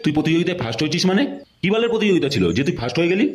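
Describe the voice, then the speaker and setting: A man's voice: on the high side, sing-song, very fast. A man voicing a grumpy, foul-mouthed middle-aged father in a comedy cartoon, scolding and griping. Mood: angry. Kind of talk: Bengali comedy cartoon dubbing. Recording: clear background noise.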